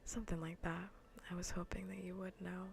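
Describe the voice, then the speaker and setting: A woman streamer chatting playfully and casually in a soft, breathy, often near-whispered ASMR style, close to the microphone. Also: lowish voice, little pitch movement, brisk, soft background music. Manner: playful, rambling, half-whispered casual talk. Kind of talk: soft-spoken ASMR gaming livestream chat.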